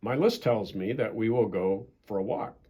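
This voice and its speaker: A man's voice: lowish voice, normal speed, mellow, breathy edge, dull audio. A man voicing a fretful, earnest, literal-minded toad in a children's storybook, prone to wailing. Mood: earnest, satisfied. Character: fretful, list-obsessed toad, male, childlike storybook animal.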